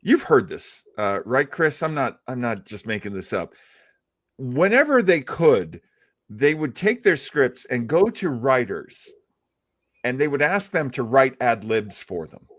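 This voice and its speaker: A man's voice: mid-range voice, big pitch swings, measured pace. A man hosting a casual live talk show, telling stories in a chatty, jokey, self-deprecating way. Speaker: host. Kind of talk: casual live show chat about old-time radio.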